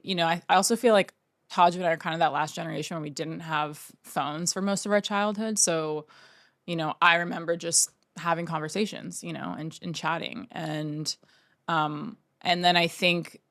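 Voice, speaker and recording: A woman's voice: low voice, fairly even intonation, moderate pace, a bit bright, no echo, studio quality. A woman in her thirties from Oregon, telling family stories in a casual interview, rambling with lots of fillers. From Oregon, United States. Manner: rambling, filler-heavy storytelling. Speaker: painter, interview guest.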